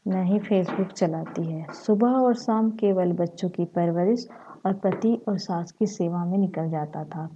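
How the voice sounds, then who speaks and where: A woman with a slightly husky voice, narrating a short story. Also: medium pitch, warm tone, muffled recording, slight background noise.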